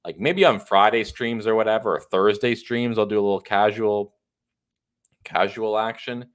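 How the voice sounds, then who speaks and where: A man's voice: fairly low pitch, normal speed. A man in his thirties hosting a casual political livestream, congested and a bit tired, talking in a chatty, rambling, opinionated way. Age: 30s. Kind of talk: casual solo livestream monologue.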